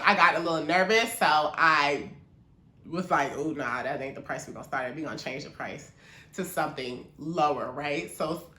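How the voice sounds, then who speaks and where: A woman's voice: on the low side, sharp tone, reverberant. A woman from Maryland, a small business owner, talking casually to camera in a chatty, encouraging way with lots of fillers.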